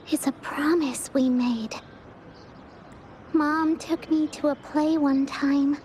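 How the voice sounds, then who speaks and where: A woman's voice: high-pitched, slight background noise. A woman voicing a shy, earnest young girl in an anime video game, speaking hesitantly. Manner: hesitant, soft-hearted, earnest.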